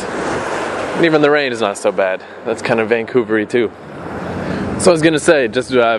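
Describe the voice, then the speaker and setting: A man in his late twenties walking through a rainy city, musing casually into his camera and swearing freely. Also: mid-range voice. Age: 20s. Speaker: vlogger. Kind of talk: walking vlog, rambling reflection. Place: outdoors on rainy city streets. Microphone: handheld camera mic. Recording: outdoor camera audio with street noise. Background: street and traffic noise.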